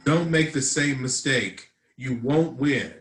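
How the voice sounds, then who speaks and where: A man's voice: mid-range voice, expressive, slow, fairly bright tone. A man playing a jaded, dismissive district attorney in a drama table read, recorded in an echoing room. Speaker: actor in a web series table read. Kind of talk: scripted drama read aloud.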